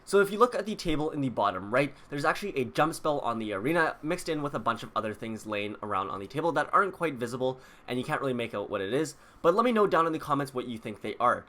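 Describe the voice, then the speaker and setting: A man's voice: medium pitch, very animated, quick pace, hardly any pauses, pushed and bright. A man hosting a video, explaining details to viewers in a casual, chatty way. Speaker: video host. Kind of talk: casual scripted video commentary.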